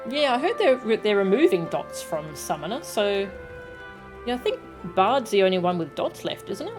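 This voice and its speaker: A woman's voice: medium pitch, very animated, normal speed, over music. A woman giving casual live commentary as she streams a video game, chatting with viewers.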